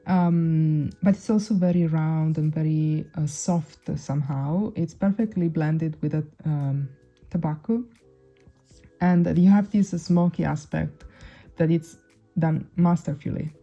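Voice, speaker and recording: A woman's voice: sits low, vocal fry, dark tone. A young woman whose first language is Italian, chatting casually to camera as a perfume reviewer, with many restarts and fillers. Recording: dull lo-fi sound.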